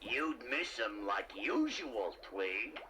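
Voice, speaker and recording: A man's voice: medium pitch, very animated, slow pace, noisy background. A man voicing a dopey, put-upon henchman creature in a children's audio storybook, muttering sullenly. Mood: sullen, grumbling. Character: grumbling, slow-witted, put-upon male henchman creature.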